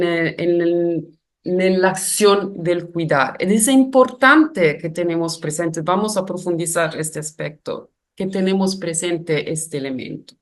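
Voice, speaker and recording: A woman with an Italian accent giving a careful, reflective webinar lecture in a non-native language, with frequent hesitations. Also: fairly low pitch. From Italy. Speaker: guest lecturer.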